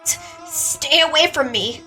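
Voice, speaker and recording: A woman's voice: sits high, animated, moderate pace, sharp tone, recorded from a distance, faint music behind, pitched above the performer's own voice, poor audio. A woman playing a frightened young lady who accuses someone and calls out for help. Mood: panicked.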